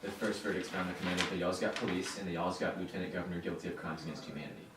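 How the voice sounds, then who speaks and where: Young man as interviewed expert, deep voice, quick scripted answers, echoey distant sound. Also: level tone. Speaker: student playing interviewed expert. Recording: echoey, distant mic, faint noise.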